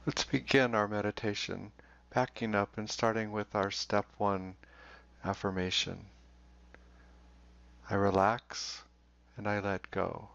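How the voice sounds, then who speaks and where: A man leading a guided meditation in a slow, deliberate way, leaving long pauses. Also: fairly low pitch, little pitch movement, gravelly, a bit bright. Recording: dull, roomy recording.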